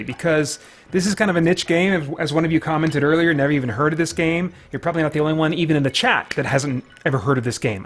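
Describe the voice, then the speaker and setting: A man hosting a live game stream in casual chat, rambling and thinking aloud. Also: a little high.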